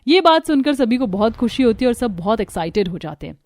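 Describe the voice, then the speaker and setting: A woman's voice: on the high side, very animated, quick pace, a little mellow. A woman reading scripted narration for a story, in the role of narrator. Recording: music under the voice. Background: background music.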